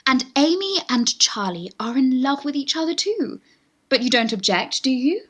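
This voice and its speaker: Farce role: woman playing a genteel British young lady, coaxing and gushing, higher pitch, very animated. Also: normal speed, slightly rough, heavily acted. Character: coaxing, eager young Victorian lady in love. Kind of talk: Victorian stage farce, radio play acting.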